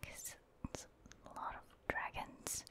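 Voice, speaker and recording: A woman whispering casual, gentle ASMR commentary as she thinks aloud while playing a video game. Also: takes it slowly. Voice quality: breathy, voiceless whisper. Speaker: gaming ASMR streamer.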